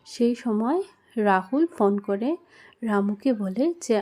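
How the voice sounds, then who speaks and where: A woman's voice: mid-range voice, slow, darkish tone. Female storyteller, mid-range voice, scripted narration for a children's spooky cartoon tale. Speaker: storyteller and channel host.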